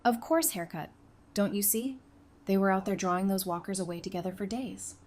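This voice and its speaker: A woman's voice: mid-range voice, a little fast, a little mellow, close to an everyday voice. An actress playing a candid, caring, perceptive woman who talks casually and frankly in a fan audio drama. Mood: figuring it out.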